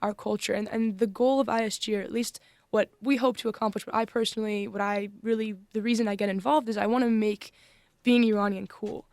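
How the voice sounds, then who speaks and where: A woman's voice: mid-range voice, steady tone, brisk, darkish tone, no room sound. A young woman from Northern California, a student club member, speaking earnestly in a discussion with frequent restarts. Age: young adult. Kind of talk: conversational discussion.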